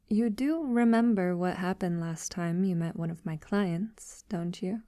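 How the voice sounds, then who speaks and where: Slow, hushed vampire lover, acted by a woman. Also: mid-range voice, no echo, studio quality, close to an everyday voice. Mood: concerned, affectionate. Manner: soft-spoken, breathy half-whisper, hesitant pauses. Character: centuries-old female vampire lover, wealthy, tender, vulnerable. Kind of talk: intimate ASMR audio roleplay.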